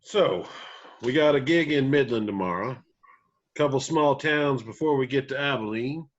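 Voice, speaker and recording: A man's voice: mid-range voice, normal speed, muffled recording, lightly acted. A man playing an easygoing, matter-of-fact touring band member in a screenplay table read. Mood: laid-back.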